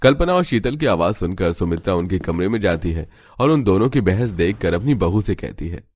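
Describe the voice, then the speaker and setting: A man's voice: sits low, sing-song, brisk, a little mellow, no echo. A man narrating a scripted family story for a cartoon.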